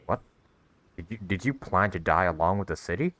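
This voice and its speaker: A man's voice: deep voice, expressive, fairly quick, fairly bright tone. A man giving casual reaction commentary as a game streamer, musing aloud conversationally into a close mic.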